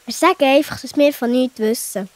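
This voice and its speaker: Casting: woman as impulsive little girl, very high voice, close dry sound, children's audio drama. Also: brisk, dry recording, lightly acted. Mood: anxious. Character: Lila, impulsive young girl child character. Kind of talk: children's audio play acting.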